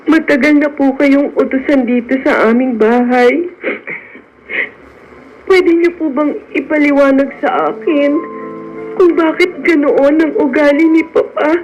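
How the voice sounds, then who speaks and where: An actress playing a gentle, respectful young well-born daughter, earnest and pleading, in a radio drama. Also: female voice, high voice, muffled recording, a little hiss or hum.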